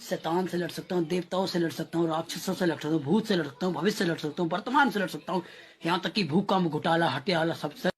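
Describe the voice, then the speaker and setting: A man's voice: a little high, fast talker, breathy edge. Man rattling off a dramatic vow. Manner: over-the-top, emphatic vow of love. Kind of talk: dramatic declaration in a played clip.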